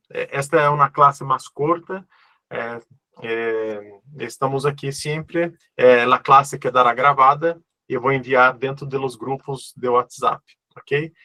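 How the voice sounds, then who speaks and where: Un hombre con acento portugués brasileño que da una clase en línea por videollamada, cordial y tranquilizador, que pregunta a menudo "¿ok?". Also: male voice, medium pitch, bright, forceful tone, dry recording. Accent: Brazilian Portuguese. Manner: friendly, reassuring, checks in with "ok?". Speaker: online course instructor. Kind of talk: live online class answering questions. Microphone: video call.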